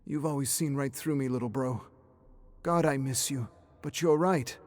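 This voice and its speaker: A man's voice: medium pitch, normal speed, darkish tone, voice pushed up, natural voice. A man voicing a lost, self-doubting man stranded alone, emotional and exasperated, in dramatic storytelling dialogue. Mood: anguished confession.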